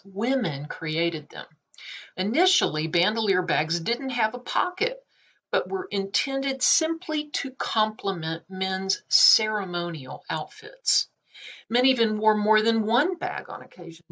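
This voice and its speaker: A woman reads an art history essay aloud in the low end of her range, taking her time over each phrase. She reads carefully, with small slips and self-corrections along the way. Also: a bit bright. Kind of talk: read-aloud lesson.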